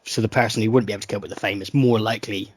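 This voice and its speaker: A man's voice: fairly low pitch, fairly even intonation, rapid-fire, no echo. A man co-hosting a casual music podcast, talking in a wry, joking way. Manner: wry, joking, mimics people in quotes. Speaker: podcast co-host. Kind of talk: casual chat about music.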